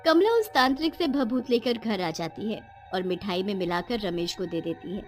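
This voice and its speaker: A woman narrator reading a scripted animated moral tale for listeners. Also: mid-range voice, normal speed.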